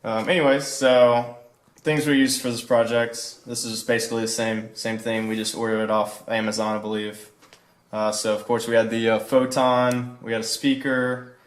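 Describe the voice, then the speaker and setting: A young man presents a student project informally. His delivery is off-the-cuff and laid-back, with hesitant pauses. His voice sits at an average pitch for a man. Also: flat delivery, a little hiss or hum. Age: young adult.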